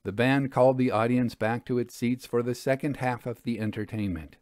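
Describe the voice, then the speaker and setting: A man reading a period mystery story as scripted narration, in a measured, literary and expressive manner. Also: mid-range voice, dry recording, clean studio sound.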